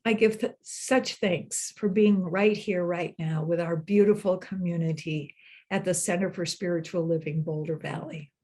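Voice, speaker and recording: An older woman giving a sermon as senior minister, earnest, repeating phrases and slowing down for emphasis. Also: fairly low pitch, fairly even intonation, darkish tone.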